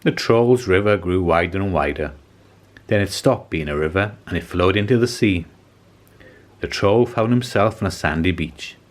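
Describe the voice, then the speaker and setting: A man reading a children's story aloud as an expressive narrator. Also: low voice, moderate pace. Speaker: storybook reader.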